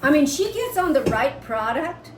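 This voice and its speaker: A woman's voice: high voice, big pitch swings, moderate pace. An American woman co-hosting a homestead vlog, chatting casually and reading viewer comments. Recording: roomy, distant mic, background noise.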